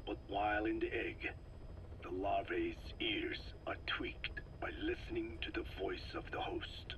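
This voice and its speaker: An actor playing a frail, soft-spoken scientist in a video game, explaining slowly and formally in a breathy rasp. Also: male voice, lowish voice, fairly even intonation, in a whisper, lo-fi sound, close to an everyday voice. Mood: patient, scholarly. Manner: slow, formal, measured, scholarly phrasing. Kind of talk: video game voice acting, recorded interrogation.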